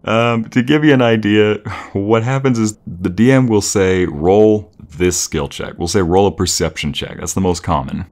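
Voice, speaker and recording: Very deep-voiced man, playful boyfriend roleplay. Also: moderate pace, darkish tone.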